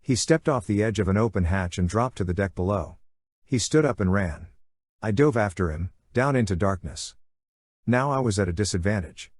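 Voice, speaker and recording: Male audiobook narrator. Slightly deep, rough-edged voice, intense dramatic storytelling. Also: expressive, normal speed, dry recording, studio quality. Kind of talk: dramatic first-person fiction narration.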